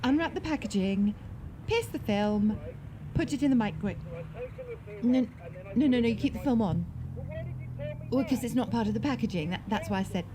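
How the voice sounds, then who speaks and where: A woman's voice: fairly high pitch, expressive, normal speed, long pauses, crowd noise, close to an everyday voice. A woman playing an anxious, earnest support worker who stammers and talks to herself in a naturalistic audio drama. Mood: flustered. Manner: agitated, stammering, talking to herself. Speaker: lead actor in an audio drama. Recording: clear background noise.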